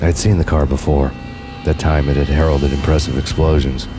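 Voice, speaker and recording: An actor playing a brooding, sardonic hard-boiled cop, narrating a noir game in a world-weary deadpan. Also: male voice, very deep voice, normal speed, loud music behind the voice. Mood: grim, brooding. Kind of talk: film noir scripted narration.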